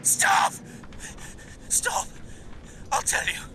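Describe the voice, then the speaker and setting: A man's voice: extremely slow, pushed and bright, slight background noise, heavily acted. An actor playing a desperate male researcher under interrogation in a spy game, strained and pleading. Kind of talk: cinematic spy game acting.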